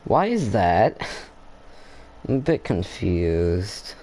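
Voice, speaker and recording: Gravelly low-voiced gaming commentator. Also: male voice, sing-song, very slow, darkish tone. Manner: rambling, hesitant, thinking aloud. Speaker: gameplay commentator. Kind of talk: casual let's play commentary.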